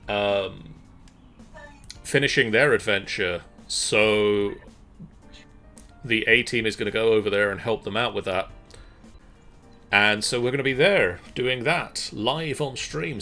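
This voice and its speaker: A middle-aged man hosts a casual livestream. His voice is fairly low yet bright and forward, and he talks haltingly with frequent pauses. Faint music sits behind him. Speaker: streamer and host. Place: small room at home.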